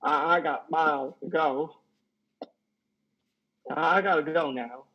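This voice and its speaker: Man playing a high-pitched, halting lonely trucker. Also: fairly even intonation. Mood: shy, rambling. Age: 30s. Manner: halting, stammering, nervous. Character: lonely, awkward, self-deprecating trucker, plain-spoken and folksy. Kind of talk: play reading over video call. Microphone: headset on a video call. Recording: dull video-call audio.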